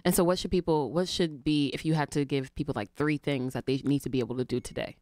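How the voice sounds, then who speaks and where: A woman hosting a casual interview, playful and laughing, with lots of quick agreement. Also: low-pitched, fairly even intonation, darkish tone, no room sound, clean studio sound. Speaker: interview host.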